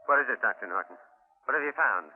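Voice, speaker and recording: An actor playing an anxious, protective husband with a strained manner in vintage radio horror. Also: male voice, mid-range voice, expressive, quick pace, phone-quality sound, natural voice. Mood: distraught. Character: anxious, protective husband, firm then distraught. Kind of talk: 1940s radio horror acting. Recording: noisy, thin old radio broadcast.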